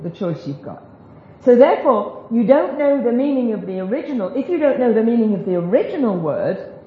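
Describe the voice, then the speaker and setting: A woman's voice: mid-range voice, slight background noise. A woman giving an informal Bible teaching talk to a group, chatty and self-deprecating, with joking asides. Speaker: speaker teaching a group about biblical Hebrew. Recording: roomy, dull lo-fi recording of a talk.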